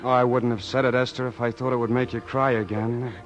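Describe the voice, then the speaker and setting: A man acting as an earnest young suitor talks in a halting, boyishly sincere way at a middling pitch. It is a 1940s radio play, dull and narrow in sound, with faint music underneath. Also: flat delivery, lightly acted. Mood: nervous, earnest. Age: young adult. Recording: dull old radio broadcast, music behind.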